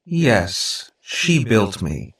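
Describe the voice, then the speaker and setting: A sweet, possessive robot girl from an audiobook, acted out by a male narrator. The voice is lifted and measured, full of syrupy affection, with a manipulative, clinging insistence in careful, precise phrases. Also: lowish voice, very animated, fairly bright tone, roomy sound, far from the mic, pitched above the performer's own voice, full character voice. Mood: quietly manipulative. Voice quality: synthetic, affectionate tone.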